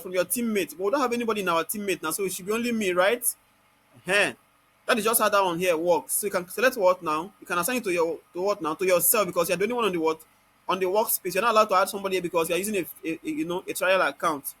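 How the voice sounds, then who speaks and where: A Nigerian-accented man giving an informal step-by-step software tutorial, constantly asking rhetorical questions and answering them himself. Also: sits high, racing, husky.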